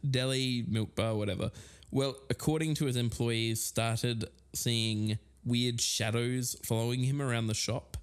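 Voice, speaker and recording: A man's voice: mid-range voice, fairly even intonation, a little slow, smooth, close to an everyday voice. A man playing an amiable podcast co-host, telling eerie mysteries in a conversational documentary style. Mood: matter-of-fact.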